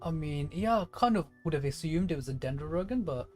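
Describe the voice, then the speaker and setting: A game streamer talks casually in a medium-pitched voice while playing. The delivery is musing and speculative, working through ideas aloud, with game music in the background. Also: expressive, a little fast, darkish tone. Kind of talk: casual live gaming commentary.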